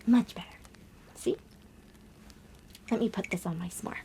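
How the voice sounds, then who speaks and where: A woman playing a gentle young girl on a camping trip in an ASMR roleplay, speaking softly and breathily with hushed, dramatic pauses. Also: medium pitch, a little hiss or hum, close to an everyday voice. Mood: soft, teasing. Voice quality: soft, breathy whisper. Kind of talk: ASMR roleplay, soft whispered storytelling.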